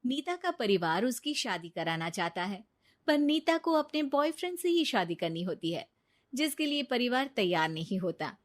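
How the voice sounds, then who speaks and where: A woman's voice: a little high, big pitch swings, moderate pace. A woman narrating a scripted moral story.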